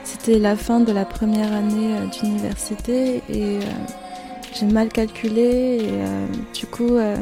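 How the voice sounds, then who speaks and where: A woman in her twenties speaks in a mellow, gravelly voice with a flat, even delivery. She talks hesitantly, with many fillers and restarts. The recording is close and dry. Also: medium pitch, no room sound. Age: 20s. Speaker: interviewee giving a personal testimony. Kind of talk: candid personal account.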